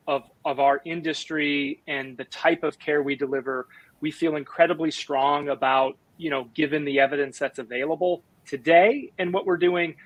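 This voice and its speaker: A man's voice: on the high side, dry recording, poor audio. A man hosting a webinar, upbeat and full of fillers like "you know". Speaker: webinar host.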